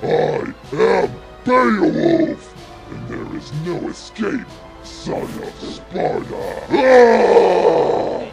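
A man's voice: sits high, animated, extremely slow, full character voice. A man voicing a huge, vengeful beast demon with a growling voice and theatrical, whiny threats in a parody game dub. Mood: menacing. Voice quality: gravelly, growling. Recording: game music under the voice.

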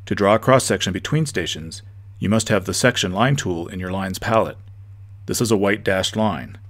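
A man giving a steady, methodical, scripted narration for a software tutorial. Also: low-pitched.

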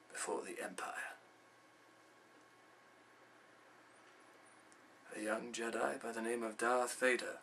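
A man performing a film monologue as an old, wise Jedi mentor, grave and wistful, with a measured storytelling manner. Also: on the low side, pushed and bright, a little hiss or hum, pitched below the performer's own voice, low-quality recording.

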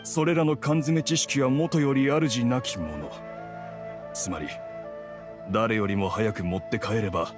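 A man playing a middle-aged, resigned merchant and father in an anime game, with a measured, persuasive manner. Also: mid-range voice, over music, lightly acted. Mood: wary, resigned. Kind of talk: Japanese anime game voice acting.

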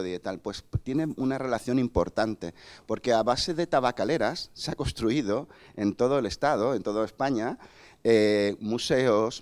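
A man's voice: mid-range voice, darkish tone. A man in his forties from Cádiz with an Andalusian accent, giving a chatty, digressive conference lecture on a handheld mic in a lecture hall. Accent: Andalusian Spanish (Cádiz). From Cádiz, Spain. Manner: chatty, digressive, many fillers and asides. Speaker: invited speaker.

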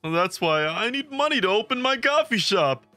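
An actor voicing an excitable young man with big dreams in a manga comic dub, imploring and overdramatic. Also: medium pitch, moderate pace, dry recording. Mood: desperate pleading. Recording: dry voice with background music.

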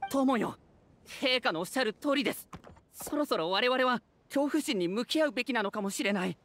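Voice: mid-range voice, expressive, a little fast, a bit bright, lightly acted. An actor voicing a humble, anxious citizen of a fairytale town in a Japanese video game, speaking formally and earnestly. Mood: earnest, urging. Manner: formal, deferential, earnest speech.

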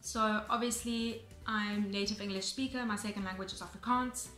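A woman's voice: medium pitch, steady tone, moderate pace, a bit bright, reverberant, faint music behind. A young South African woman in her twenties, chatting to camera in a casual, explanatory way with frequent ums and restarts. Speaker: vlogger. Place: bright room indoors, seated on sofa.

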